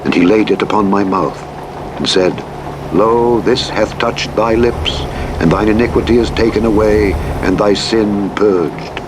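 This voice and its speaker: A man reading scripture as a narrator in a solemn, measured, declamatory manner. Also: fairly low pitch. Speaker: audiobook Bible narrator. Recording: engine and road noise over playback. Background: truck simulator vehicle noise.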